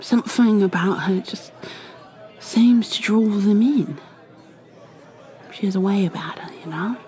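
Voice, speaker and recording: A chatty, kindly female tavern keeper, played by a woman in an ASMR roleplay rambles gently, often dropping into a whisper. She talks slowly in a mellow tone. A faint crowd murmurs in the background. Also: mid-range voice, close to an everyday voice. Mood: gossipy. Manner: rambling and gentle, many fillers, drifting into whispers.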